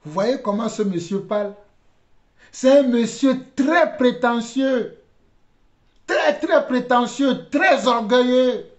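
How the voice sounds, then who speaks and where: Very high-pitched man, echoey commentary. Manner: deliberate, halting, repeats phrases for emphasis. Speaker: religious commentator. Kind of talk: spoken commentary with preaching. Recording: dull, roomy, echoing recording.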